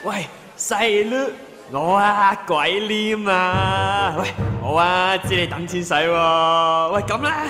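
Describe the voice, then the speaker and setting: A man's voice: high-pitched, steady tone, slow, sharp tone, long reverb tail, soft background music, pitched below the performer's own voice, heavily acted. A man voicing a slick, sleazy hustler offering shady work. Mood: wheedling. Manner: wheedling, slick. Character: sleazy male street hustler.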